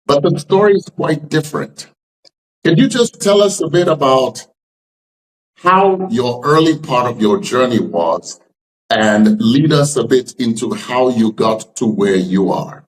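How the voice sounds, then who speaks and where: A man's voice: medium pitch, expressive, unhurried, rough recording. A middle-aged man hosting a podcast, speaking in articulate, emphatic and formal phrases as he introduces and interviews. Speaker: podcast host, leadership scholar.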